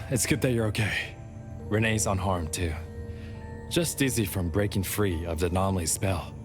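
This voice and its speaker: A man's voice: low voice, normal speed, close to an everyday voice. An actor playing a world-weary, businesslike male bureau officer in an anime-style video game, with a dry official manner. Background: game music.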